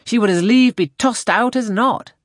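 A woman reading a classic novel, voicing a genial, teasing gentleman naval captain in a hearty, animated manner. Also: fairly high pitch, moderate pace, no room sound, studio quality, voice pushed up, close to an everyday voice. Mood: cheerful, teasing. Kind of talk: classic novel audiobook character voice.

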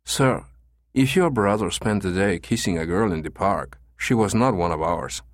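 A man's voice: low voice, expressive, moderate pace, dry recording, studio quality. A man voicing a careful, polite businessman in an audiobook story, speaking in a formal and guarded manner. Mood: firm, dismissive. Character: careful, smooth Spanish escort agency manager, a man.

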